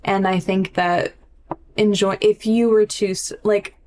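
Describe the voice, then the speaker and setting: A woman's voice: medium pitch, steady tone, measured pace, darkish tone. A young woman co-hosting a casual podcast, informal and reflective, working out her thoughts as she talks with frequent "like". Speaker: podcast co-host.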